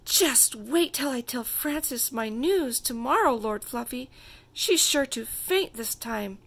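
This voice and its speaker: A woman's voice: fairly high pitch, animated, takes it slowly, fairly bright tone, pitched above the performer's own voice, full character voice. A woman voicing a bossy, dramatic little girl princess who makes grand declarations in a children's storybook. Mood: excited. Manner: grand, self-important declarations.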